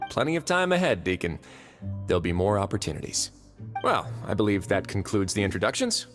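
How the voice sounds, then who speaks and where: An actor playing a calm, courteous young duke who runs a prison, speaking with polished, gently wry politeness in video game dialogue. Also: male voice, lowish voice, a little fast, music in the background.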